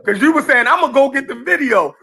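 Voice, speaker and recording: A man's voice: very high-pitched, lively intonation, fairly quick, vocal fry, sharp tone. A man in a heated group conversation who keeps cutting in to explain his point and insists on being heard.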